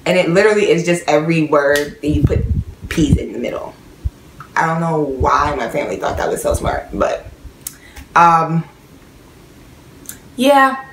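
A woman's voice: low voice, animated, a little slow, pauses often, roomy sound, a little hiss or hum, low-quality recording. A young woman from New York with a slight Guyanese tinge in her accent, chatting casually to camera in a rambling way. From New York, of Guyanese family. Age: young adult. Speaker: vlogger. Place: small bedroom at home.

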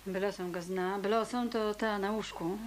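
A woman's voice: mid-range voice, fairly even intonation, unhurried, slight background noise, natural voice. An actress playing a brash, scornful young woman in a radio drama, curt and insolent. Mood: scornful, irritated.

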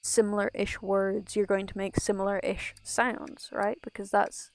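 Slow, gravelly young woman streamer. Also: mid-range voice, level tone, no room sound. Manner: rambling, self-interrupting, laughs often. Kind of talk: casual gameplay chat.

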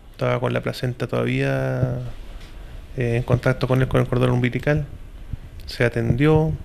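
A man's voice: medium pitch, vocal fry. A middle-aged man with a Chilean accent, a hospital director giving a matter-of-fact report to reporters' mics in an office. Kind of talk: press statement. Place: indoor office. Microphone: several handheld reporter mics.

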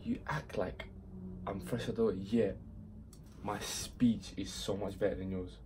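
A young man chatting casually at home with his partner, teasing her and laughing loudly. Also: on the low side, fairly even intonation, measured pace, background noise. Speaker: co-host. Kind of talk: casual chat reacting to old clips. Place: living room at home.

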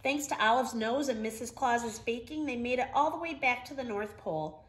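A middle-aged woman reading a children's story aloud in an expressive, emphatic storytelling manner. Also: fairly high pitch, moderate pace, noisy background. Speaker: storybook reader. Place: living room, indoors.

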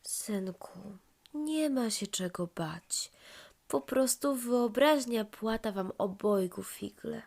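A woman voicing a gentle, reassuring mother in a children's story, speaking softly and soothingly. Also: fairly high pitch, slow, gravelly, pitched above the performer's own voice, full character voice. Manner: soft, soothing.

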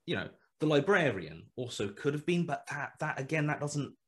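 A man's voice: fairly high pitch, sing-song, moderate pace, a bit bright. A man in his thirties talking on a video call through a headset, thinking aloud with many fillers, restarts and hesitations. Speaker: guest writer. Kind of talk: casual video call interview. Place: small room at home.

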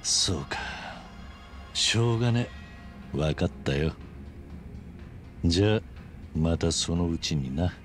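A man's voice: deep voice, animated, slow pace, long pauses, soft background music. A man voicing a stoic, blunt middle-aged tough fighter in a video game cutscene. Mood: grudging. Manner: terse, blunt, reserved.

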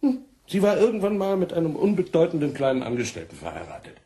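A man's voice: a little high, huge pitch swings, quick pace, mellow. An actor playing a sly, worldly, chummy man with an insinuating manner in a German crime radio drama. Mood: sly, knowing. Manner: insinuating, jovial. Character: sly, worldly, chummy male client of a call girl.

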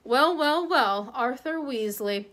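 A woman's voice: on the high side, fairly quick, lightly acted. A middle-aged wizard father, read by a woman, slightly raised, teasing his rival with sardonic jabs. Storybook reading.

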